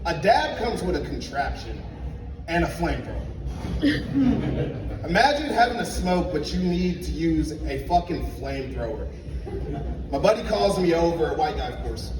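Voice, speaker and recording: High-voiced man doing stand-up in an echoey club. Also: moderate pace, long pauses, background noise. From Liberia. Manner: animated storytelling, acting out dialogue. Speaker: stand-up comedian. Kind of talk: stand-up comedy set. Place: comedy club stage. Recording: echoey club sound from a distance. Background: audience laughter.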